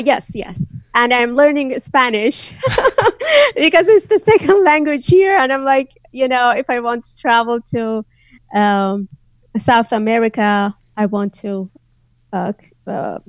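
A woman's voice: a little high, lively intonation, a little slow, no echo. A woman from northwest Iran whose first language is Azeri, speaking English with an Iranian accent as a podcast guest, hesitant with many fillers and restarts. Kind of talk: casual interview chat.

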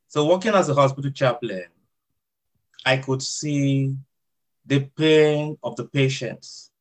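Reflective Nigerian-accented man. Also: medium pitch. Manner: reflective, measured, frequent "uh" fillers. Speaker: hospital chaplain. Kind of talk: interview answers.

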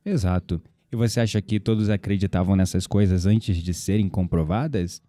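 A man with a Brazilian Portuguese accent playing a calm, philosophical young shopkeeper who flatters a customer in an audio drama. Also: low voice, normal speed, no room sound, studio quality, close to an everyday voice. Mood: earnest. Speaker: incense shop clerk.

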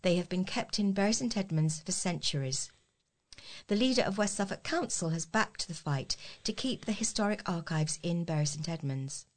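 A woman reads local news from a script. Her voice sits fairly low, and she delivers each item as a volunteer newsreader would. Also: lively intonation, moderate pace. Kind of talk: scripted local news reading for a talking newspaper.

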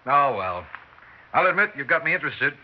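Casting: wary man-about-town, radio drama, brusque, lightly high voice, muffled broadcast. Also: big pitch swings, brisk. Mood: suspicious. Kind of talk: 1960s radio drama acting.

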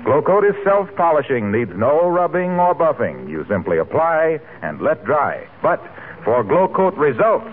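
A man's voice: on the high side, sing-song, measured pace, slight background noise. A man with an American accent reading commercials and announcements as a 1940s radio announcer, in a polished, formal sales manner. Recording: tinny old radio broadcast with music.

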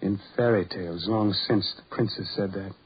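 An actor playing a bitter, despairing, ugly man in a theatrical, poetic 1940s radio drama style, with a husky voice. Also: lowish voice, moderate pace. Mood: wistful, poetic. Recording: muffled old 1940s radio recording.

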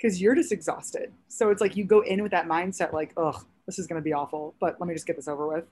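Comedian guest, woman about thirty, raspy and a touch low, loose animated chat. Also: quick pace, slight background noise. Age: around 30. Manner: chatty, animated storytelling with many fillers. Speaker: stand-up comedian, podcast guest. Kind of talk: casual podcast chat.

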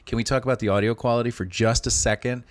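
A man's voice: medium pitch, fairly even intonation, fast talker, no room sound. A man reviewing a podcast in casual commentary, enthusiastic and often repeating and restarting words.

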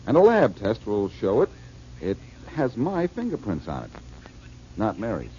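An actor playing a confident detective who explains how a crime was done, in a 1940s radio drama. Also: male voice, fairly low pitch, wildly swooping pitch, normal speed, dark tone, slight background noise. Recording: dull 1940s radio recording.

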